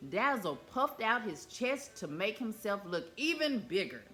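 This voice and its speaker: A woman storyteller reads a children's picture book aloud, expressive and animated. Her voice is bright and her pitch swings widely. Faint music plays softly underneath. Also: unhurried.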